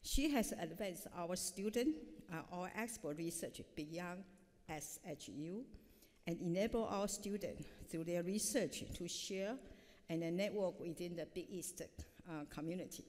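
A woman's voice: on the low side, unhurried, darkish tone. Middle-aged woman at a reverberant podium. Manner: reads from notes with frequent uh fillers. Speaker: professor introducing a keynote speaker. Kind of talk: formal introduction speech at a podium. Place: large hall, indoors. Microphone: podium microphone. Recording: long hall reverb.

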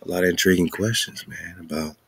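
A man's voice: deep voice, steady tone, fairly quick, gravelly, a bit bright, slight background noise. A man hosting a show, reading aloud and commenting casually with informal, slangy asides. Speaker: radio show host.

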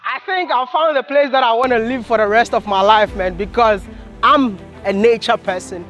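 A young man hosting a travel vlog, talking casually and excitably to the camera outdoors. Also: very high-pitched, normal speed, soft background music. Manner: excitable, joking, chatty. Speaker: travel vlogger host. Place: outdoors on a hillside.

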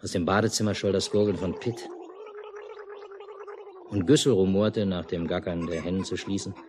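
A man acts a father with a very deep voice, calm and understated. He speaks in the measured, literary manner of 1950s radio drama. The mono recording carries slight noise. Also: natural voice. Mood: calm, descriptive. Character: calm, unruffled family father narrating wartime lakeside life. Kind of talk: 1950s German radio play acting, literary narration.

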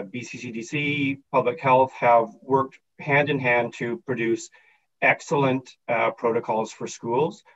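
A man in his fifties, a school district official, giving a measured, formal answer on a video call. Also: medium pitch, fairly bright tone. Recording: dull lo-fi call audio.

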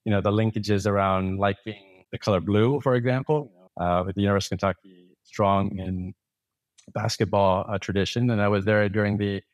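A man with a lowish voice, recorded dry and close. He is a podcast guest who tells long, rambling stories full of restarts and fillers. He is in his forties and comes from Thailand. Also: fairly even intonation, brisk, no echo. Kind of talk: casual interview storytelling.

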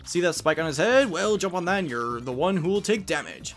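A fussy old professor Goomba acted out by a man lectures in a lively, swooping voice. It is a know-it-all mentor from a cartoony video game, with music underneath. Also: fairly high pitch, fairly quick. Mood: lecturing. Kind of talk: video game fan dub.

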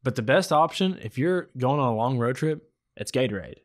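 A man's voice: medium pitch, expressive, a little fast. A man in his twenties talking casually as a podcast co-host, debating in a dry, joking way on a close microphone. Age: 20s. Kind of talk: casual chat, friendly debate. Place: small room indoors. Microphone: podcast microphone on a stand, with headphones.

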